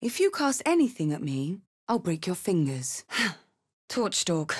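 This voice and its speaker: A brash fantasy-game warrior woman, with a highly animated voice that swings widely in pitch. She takes her time over her words. Her manner is blunt, cheeky and hot-headed. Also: measured pace, husky, exaggerated voice. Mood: wry, focused. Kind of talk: fantasy video game character lines and spell calls.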